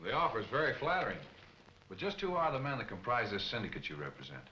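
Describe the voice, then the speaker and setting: Fast-talking, self-assured newspaper editor. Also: male voice, mid-range voice, wildly swooping pitch, pushed and bright, slight background noise. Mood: cool, guarded. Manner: brisk, clipped, authoritative. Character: self-assured young male newspaper editor, brisk and wry. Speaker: film serial actor. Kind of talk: 1940s film serial acting. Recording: echoey old film soundtrack, music behind.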